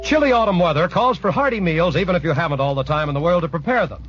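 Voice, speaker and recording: A man reading a scripted 1940s radio commercial as a polished announcer's sales pitch. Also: fast talker, over music. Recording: muffled 1940s radio broadcast.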